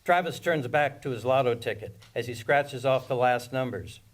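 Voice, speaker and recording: A man's voice: medium pitch, normal speed. A man narrating scripted stage directions at a screenplay table read.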